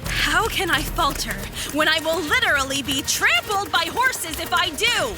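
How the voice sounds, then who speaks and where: A woman's voice: very high voice, lively intonation, unhurried. A woman playing a weary young fugitive in a fantasy audio drama, complaining with sarcasm. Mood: pleading, exasperated.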